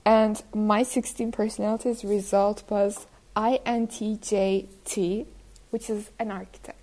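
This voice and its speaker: A woman in her twenties from Turkey, co-hosting a casual podcast, careful with soft laughs. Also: mid-range voice, little pitch movement, measured pace, poor audio. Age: 20s. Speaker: podcast co-host.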